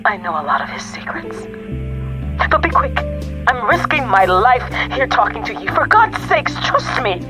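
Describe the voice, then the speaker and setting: An actress playing a desperate, pleading young woman on the run, with a breathy, hushed delivery in a radio drama. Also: on the high side, sing-song, normal speed. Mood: urgent pleading. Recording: noisy radio drama with music behind.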